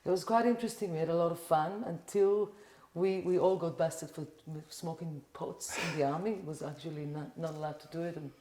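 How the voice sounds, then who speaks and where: A middle-aged Israeli woman talks loosely as a podcast guest, with a low, mellow voice. Her sentences wander, full of "like" and "you know". The room around her sounds echoey. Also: fairly even intonation, fairly quick, few pauses, a little hiss or hum. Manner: rambling, with many "like" and "you know" fillers. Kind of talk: casual interview chat.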